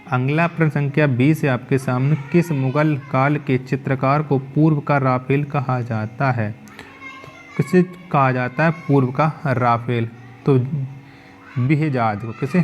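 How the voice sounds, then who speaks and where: A male teacher reading out exam quiz questions, then repeating each question and its answer back to the viewer. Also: medium pitch.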